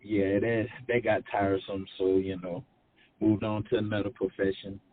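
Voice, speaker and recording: A man's voice: on the low side, little pitch movement, moderate pace. A middle-aged man chatting casually as a talk show panelist, playful and slangy, repeating phrases for emphasis. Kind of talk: casual chat. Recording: muffled, narrow phone-like audio.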